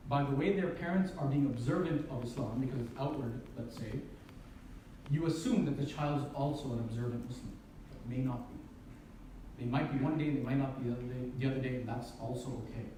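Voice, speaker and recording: A man's voice: medium pitch, mellow. A man from Canada giving a public lecture, speaking earnestly, posing rhetorical questions and restarting his phrases. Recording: very echoey hall from far off, faint hiss.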